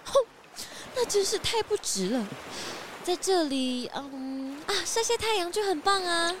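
Woman as haughty lady, swooping high voice. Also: big pitch swings, measured pace, background noise, exaggerated voice. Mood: dismissive. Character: haughty, blasé, self-satisfied female cruise guest. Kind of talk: children's audio detective drama acting. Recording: music behind the voice.

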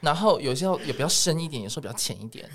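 A man's voice: fairly high pitch, expressive, racing, crowd noise. A man with a Taiwanese Mandarin accent chatting casually as a music producer guesting on a podcast.

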